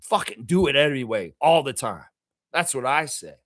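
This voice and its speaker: A man hosting a fan reaction show, raspy from yelling at a game, ranting emphatically with swearing and repeated phrases. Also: mid-range voice, big pitch swings, moderate pace. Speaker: fan channel host. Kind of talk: fired-up fan reaction rant.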